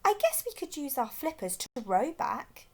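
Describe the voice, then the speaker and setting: A woman's voice: high voice, very animated, normal speed, pitched above the performer's own voice. A woman voicing an anxious, reluctant male penguin with a fretful manner in a children's storybook. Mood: uncertain. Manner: fretful, sighing complaints. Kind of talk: children's storybook read-aloud.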